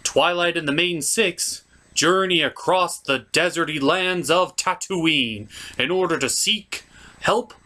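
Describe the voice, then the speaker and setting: A man's voice: fairly high pitch, slow, pushed and bright. A man narrating a comic movie recap for a puppet review show in a casual, sarcastic manner.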